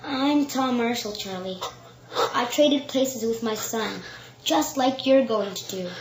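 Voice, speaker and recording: An actor in a radio drama playing an eerily calm little boy who speaks with the knowing, deliberate manner of a grown man. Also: mid-range voice, noisy background. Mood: persuasive, cryptic. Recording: roomy echo under prominent music.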